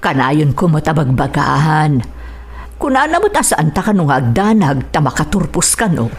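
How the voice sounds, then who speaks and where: Worried Ilocano mother, low-voiced. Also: sing-song, moderate pace, husky, full character voice. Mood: defensive. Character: worried, pleading mother of a grown son. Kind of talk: Ilocano radio drama acting.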